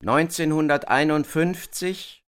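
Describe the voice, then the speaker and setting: A man presenting scripted radio narration in a measured, deliberate way. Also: fairly high pitch, a bit bright, clear, resonant tone. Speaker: presenter and performer.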